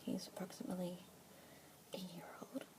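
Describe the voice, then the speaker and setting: A young woman with an Australian accent whispering softly and breathily as a pet adoption specialist in an ASMR roleplay, with gentle murmured "mm-hmm" fillers. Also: lowish voice, fairly even intonation, normal speed. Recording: close whisper mic with clear background hiss.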